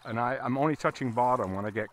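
A man giving a casual, halting fly fishing tutorial as an instructor, talking while he works outdoors. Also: medium pitch, a bit bright. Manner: halting, explaining as he casts. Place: outdoors, standing in a river. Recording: outdoor recording with water noise. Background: running water.

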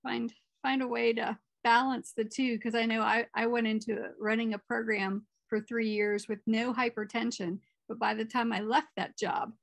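Middle-aged woman moderator, slightly high, some fry, dry video-call sound. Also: level tone, moderate pace, hardly any pauses, dry recording. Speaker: conference moderator. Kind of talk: moderating a conference Q&A.

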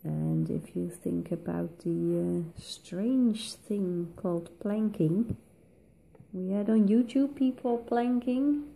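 Dutch woman tutorial host, dark mellow voice, unhurried and reflective. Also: mid-range voice, creaky, low-quality recording. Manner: slow, thoughtful, with many pauses and fillers. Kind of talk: relaxed voice-over while colouring.

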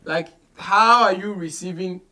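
A Ghanaian man with a high-pitched voice and a Ghanaian accent hosts a relaxed interview. He moves freely between Twi and English in a casual, conversational style. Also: a little slow. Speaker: radio show host. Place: small studio office, indoors.

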